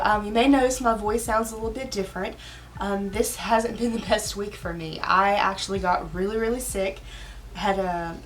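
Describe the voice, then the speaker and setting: A woman beauty vlogger chatting casually about makeup, her voice hoarse, talking around a cough drop in a rambling, chatty way. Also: mid-range voice, far from the mic, slight background noise. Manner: chatty and rambling, with filler asides. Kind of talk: casual get-ready-with-me chat.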